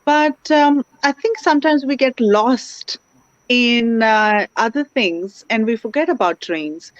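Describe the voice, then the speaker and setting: A woman's voice: a little high, slow, no room sound. Woman on a video call. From India. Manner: earnest, grateful, many fillers like "you know". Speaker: interview guest. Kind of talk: casual online interview. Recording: dry, dull lo-fi call audio.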